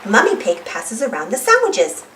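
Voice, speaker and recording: A woman narrating a children's read-aloud story expressively, speaking clearly for young listeners. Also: on the high side, wildly swooping pitch, normal speed, fairly bright tone, slight background noise. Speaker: storybook narrator and channel host. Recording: roomy, slightly distant, music underneath. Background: music.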